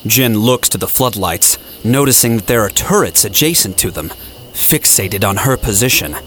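Low-voiced man narrating an audio drama. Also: expressive, normal speed, a bit bright, slight background noise.

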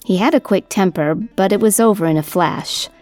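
A woman reading scripted storytelling narration for a folk history podcast in a measured, literate manner. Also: mid-range voice, a little mellow. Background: music under the voice.

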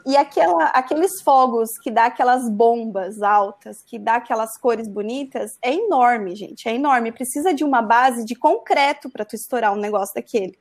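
Uma mulher jovem de Santa Catarina contando uma história de forma casual e animada, cheia de "né". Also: female voice, a little high, moderate pace, few pauses. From Santa Catarina, Brazil. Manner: animated, frequent "né" tags. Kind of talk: casual storytelling.

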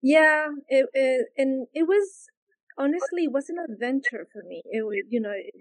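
A woman from Peru, a yoga teacher, answering interview questions slowly and with many pauses and fillers. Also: high-pitched, expressive, no room sound, poor audio. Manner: hesitant, searching for words, many fillers.

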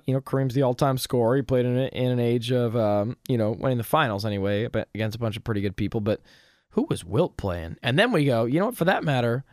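Slightly hoarse man hosting sports chat. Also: medium pitch, dry recording, studio quality. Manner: animated, joking, with frequent fillers. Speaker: sports podcast co-host.

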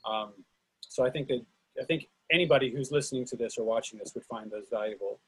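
A man's voice: mid-range voice, quick pace, fairly bright tone, slightly rough, low-quality recording. A man hosting a casual discussion, rephrasing tentatively as he goes. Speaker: host of a readers theatre series.

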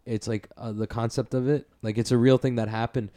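A man's voice: mid-range voice, flat delivery, a little fast, mellow. A man co-hosting a casual podcast, rambling with lots of "like" and "uh" fillers. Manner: rambling, heavy use of "like" and "uh". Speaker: podcast co-host. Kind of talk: casual chat.

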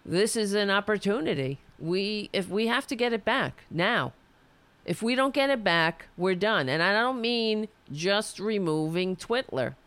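A woman's voice: medium pitch, lively intonation, unhurried, fairly bright tone, clear, resonant tone, no room sound. A woman hosting a political show, delivering an impassioned, ranting monologue while losing her voice. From United States. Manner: fiery, ranting, restarts mid-sentence.

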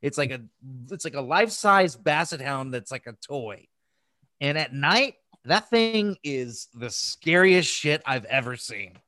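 A man's voice: a little high, animated, measured pace, bright, forceful tone, no room sound. A man co-hosts a casual podcast in a close, dry recording. He talks in loose, bantering chat and swears freely. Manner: profane, bantering. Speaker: podcast co-host.

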